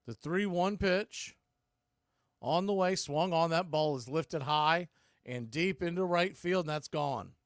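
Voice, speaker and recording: A man doing live radio-style baseball play-by-play as the announcer, making clipped pitch calls in short phrases. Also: a little slow, clean tone, dry recording, clean studio sound.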